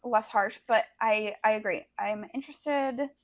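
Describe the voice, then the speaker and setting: A woman's voice: a little high, unhurried. A young woman co-hosting a podcast, chatting casually about books in an agreeable, hedging, slangy way. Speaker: podcast co-host. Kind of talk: casual book-review chat.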